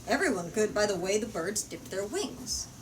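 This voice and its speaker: A woman's voice: mid-range voice, big pitch swings, normal speed, close to an everyday voice. Farm boy, read by a woman: unassuming, courteous, hesitant, storybook reading with distant noisy sound. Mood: matter-of-fact. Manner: hesitant, polite. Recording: distant mic in noisy room.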